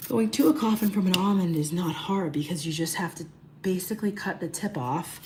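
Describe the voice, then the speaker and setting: A woman with a hoarse, raspy voice sits fairly low in pitch, sounding like she is losing it. She chats casually through a nail tutorial, greeting viewers by name as she works. A faint hiss of noise runs underneath. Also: brisk. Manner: chatty, greets viewers by name mid-task. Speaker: beauty livestream host.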